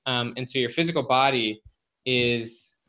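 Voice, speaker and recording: A man's voice: mid-range voice, a little slow, pushed and bright. Man explaining ideas as a podcast guest. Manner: explanatory, addresses the listener directly. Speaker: guest, author and organizational psychologist. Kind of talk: casual podcast interview, explanatory talk.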